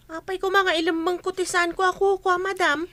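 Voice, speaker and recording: A woman's voice: very high voice, flat delivery, fairly quick, a bit bright, dry recording. A woman with an Ilocano accent playing an outspoken, principled housemaid in a radio comedy drama, plain-spoken and reproving. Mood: defensive. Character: outspoken, principled housemaid answering back to madam. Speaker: voice actor.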